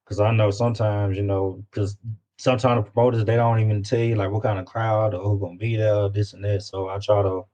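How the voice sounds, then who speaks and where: A man's voice: on the low side, flat delivery, brisk, dark tone, highs cut off. A man chatting casually as an interview guest, informal and often repeating words as he searches for phrasing. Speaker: guest, independent rapper. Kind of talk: casual chat.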